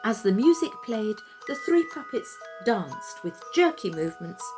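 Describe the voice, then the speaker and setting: A woman reading a children's tale as a storyteller, in dramatic, expressive narration with deliberate phrasing and pauses. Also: medium pitch, over music.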